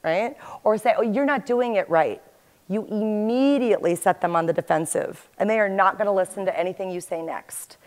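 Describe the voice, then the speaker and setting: A woman in her forties, an agile coach, giving an animated conference talk in a large hall on a headset mic. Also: mid-range voice, normal speed. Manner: animated, gestures while talking to the audience. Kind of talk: conference presentation.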